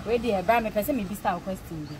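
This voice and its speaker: A woman's voice: mid-range voice, rapid-fire. Ghanaian woman interviewer, noisy roadside. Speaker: street interviewer. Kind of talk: casual comic street quiz. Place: outdoors beside a busy road. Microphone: handheld mic with furry windscreen. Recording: outdoor roadside with clear traffic noise.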